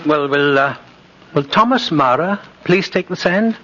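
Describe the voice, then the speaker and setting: An actor playing a gentle, twinkly old man who says he is Santa Claus, coaxing and halting in a 1950s radio drama. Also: fairly high pitch, lively intonation, a crowd in the background. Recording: muffled, noisy vintage radio recording.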